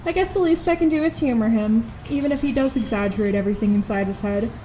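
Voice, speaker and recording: A woman playing a reluctant, exasperated high school girl in an audio drama, with dry, grudging first-person narration and dialogue. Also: quick pace, mellow, slight background noise, lightly acted, low-quality recording. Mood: wry, resigned.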